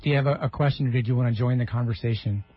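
A man's voice: mid-range voice, steady tone, racing, no room sound. A man hosting a radio call-in show in a mid-range voice. He slips in wry, joking asides between questions. The sound is slightly dull, as if heard over the air. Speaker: radio talk show host.

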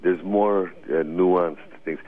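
An older man with a very low, husky voice tells meandering stories as a radio guest and laughs often. His voice comes through a narrow, tinny phone line. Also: steady tone, slow. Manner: rambling, anecdotal, laughs often. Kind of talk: radio interview storytelling.

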